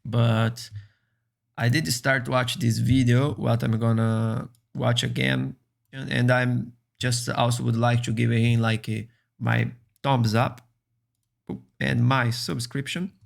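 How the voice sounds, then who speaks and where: A man whose first language is Brazilian Portuguese, speaking English earnestly and haltingly as a streamer reacting to a video. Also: mid-range voice, gravelly. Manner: earnest, halting, many restarts.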